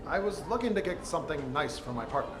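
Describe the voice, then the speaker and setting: A man's voice: fairly high pitch, expressive, fast talker, loud music behind the voice, lightly acted. A man playing an affable, bumbling police detective in a 1940s-style radio drama, flustered and jovial. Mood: sheepish. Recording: echoey theater with audience noise.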